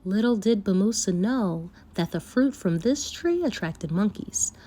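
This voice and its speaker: A woman storyteller reading a folktale aloud for children, with expressive, deliberate phrasing. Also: medium pitch, background noise.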